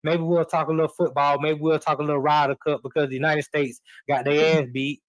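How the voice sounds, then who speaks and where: A middle-aged man talks sports in a loose, encouraging way, full of restarts and "you know." His voice sits a touch high for a man. The sound is dry and close, with no room around it. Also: level tone, fairly quick, no echo, dull audio. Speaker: podcast guest and host. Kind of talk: casual sports talk. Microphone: remote live stream.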